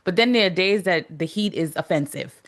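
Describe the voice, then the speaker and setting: A woman hosts a podcast in a lowish voice, relaxed and chatty. She leads with long, looping questions and checks in with "right?". She talks with her guest over a remote call. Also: fairly quick. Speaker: podcast host. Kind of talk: casual interview chat. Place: remote call from New York.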